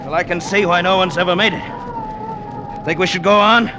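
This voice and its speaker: An actor playing a troubled, earnest gentleman and former mountaineer in a vintage radio drama, with an emphatic dramatic delivery. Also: high voice, moderate pace, lightly acted. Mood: curious. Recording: noisy vintage radio recording.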